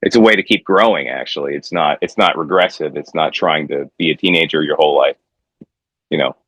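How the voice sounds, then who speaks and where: A man from the United States, a guest musician, talking in a reflective, halting way with many restarts. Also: extremely low pitch, fairly bright tone, slightly rough, dry recording. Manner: reflective, halting with repeated starts. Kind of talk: casual conversation.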